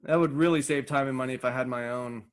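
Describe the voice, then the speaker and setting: A man's voice: mid-range voice, steady tone, fast talker. A man hosting a comedy podcast in casual chat, rambling and playfully acting out imagined remarks. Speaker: podcast host.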